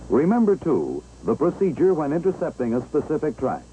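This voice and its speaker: An American man narrating a 1960s instructional film, explaining step by step in a measured, clear way. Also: a little high, huge pitch swings, mellow, low-quality recording. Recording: old 1960s film soundtrack, engine-like background noise.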